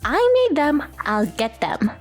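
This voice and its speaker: A woman's voice: high voice, sing-song, slow pace, heavily acted. A woman voicing a prickly, proud teenage schoolgirl who talks in a huffy, defensive way and stammers when flustered, for a fan dub of a visual novel. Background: faint music.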